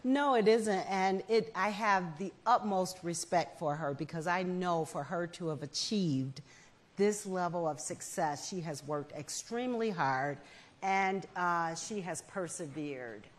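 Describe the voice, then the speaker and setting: A woman in her fifties, a foundation head, speaking in a measured, earnest way as a guest on an indoor festival stage with a lapel mic. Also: lowish voice. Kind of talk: onstage festival conversation.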